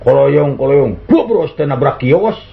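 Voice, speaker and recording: A man telling comic folk tales and jokes, doing quick voices for the characters in his stories. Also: mid-range voice, lively intonation, vocal fry. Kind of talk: comic storytelling in a duo. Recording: dull lo-fi recording with faint hiss.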